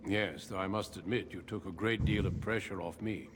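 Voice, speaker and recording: A man voicing a calm, grave veteran human warrior in a video game, speaking in a measured, formal, courteous way. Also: sits low, close to an everyday voice. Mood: wry, calm. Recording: echoey cave reverb, noisy ambience behind.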